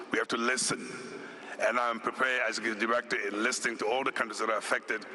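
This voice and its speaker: A man from Senegal, an executive director, giving a formal conference speech in a deliberate, measured way, listing his points one by one. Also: on the low side, bright, forceful tone, a little hiss or hum. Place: conference hall.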